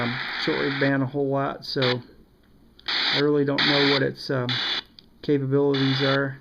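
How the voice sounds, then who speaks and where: A man's voice: medium pitch, creaky. A man casually demonstrating a radio, hesitant and full of ums and restarts. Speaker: radio reviewer. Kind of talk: casual product demo. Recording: lo-fi with loud radio static.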